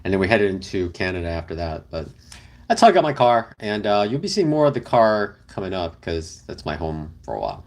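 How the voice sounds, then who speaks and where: A man's voice: low-pitched, very animated, brisk. A young man casually chatting on a livestream with his partner, reading viewer comments in a rambling, easygoing way. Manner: rambling, easygoing, many fillers. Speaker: livestream host. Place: car camping, indoors with partner.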